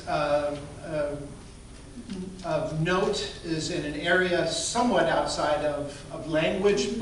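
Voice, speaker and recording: A man formally introducing a guest speaker, halting and full of fillers. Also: on the high side. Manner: halting, with many fillers and restarts. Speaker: event host introducing the speaker. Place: lecture hall. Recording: echoey hall from far off, faint noise.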